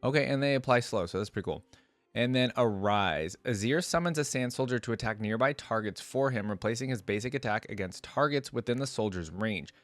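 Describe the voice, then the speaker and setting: A man's voice: mid-range voice, lively intonation, fairly quick, a bit bright, no echo. A man giving casual, off-the-cuff reaction commentary as a host, musing aloud as he goes.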